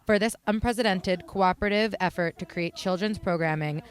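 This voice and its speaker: A woman's voice: fairly low pitch, fairly quick, clear, resonant tone. An American woman reporter delivering a scripted news report.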